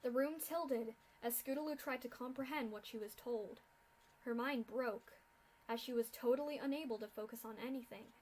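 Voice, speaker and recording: A woman reads a dark fan fiction story aloud. Her voice sits high for a woman, and she narrates in a steady, earnest manner, letting the grim scenes land without rushing. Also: low-quality recording. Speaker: fanfiction reader. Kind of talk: dramatic story reading.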